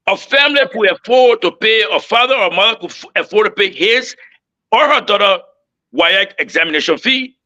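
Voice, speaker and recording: A man's voice: sits high, bright, forceful tone, muffled recording. A man arguing politics with passion and repeating his points for emphasis. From Liberia. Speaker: panel speaker. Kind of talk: heated political argument.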